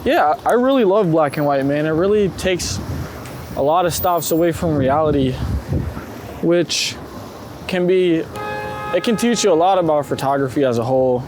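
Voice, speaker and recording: A man chatting casually and excitably in slangy speech while out walking as a street photographer. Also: fairly high pitch. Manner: excitable, slangy, quick to gush. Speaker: street photographer being profiled. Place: city street, outdoors. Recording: outdoor street noise, passing traffic. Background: urban ambience and vehicles.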